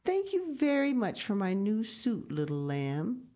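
Brief: little farm boy, a woman reader puts him on, pleading and polite, mellow tone. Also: medium pitch, wildly swooping pitch, measured pace, voice pushed up.

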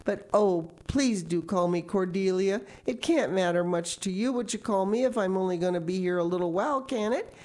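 A woman's voice: medium pitch, normal speed, few pauses, a little mellow, pitched above the performer's own voice, close to an everyday voice. A woman voicing a dramatic, imaginative orphan girl of about eleven who speaks in gushing, theatrical outbursts. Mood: eager, wistful. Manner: gushing, theatrical, tearful pleading.

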